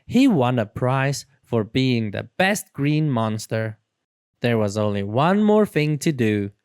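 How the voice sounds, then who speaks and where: A storyteller reading a children's book aloud in an expressive, dramatic and deliberate way. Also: mid-range voice, clean tone, no room sound, clean studio sound. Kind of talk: expressive read-aloud narration.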